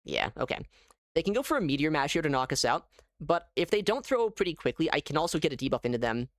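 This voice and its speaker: A man giving casual live gameplay commentary as a streamer, thinking aloud in an animated way. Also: fairly high pitch, fast talker, dry recording, clean studio sound. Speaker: gaming streamer.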